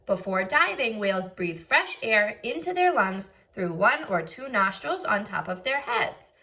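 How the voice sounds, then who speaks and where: A woman's voice: mid-range voice, expressive, measured pace, pushed and bright. A woman teacher reading aloud to young children, enunciating carefully and adding short explanatory asides. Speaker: first grade teacher.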